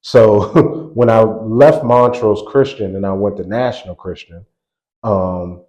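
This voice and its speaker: A man in his forties, a basketball coach, telling stories in a relaxed interview, laughing often and circling back mid-sentence. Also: lowish voice, slow pace, muffled recording. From grew up on a US army base in Germany. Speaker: college basketball assistant coach, guest. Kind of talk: casual interview storytelling.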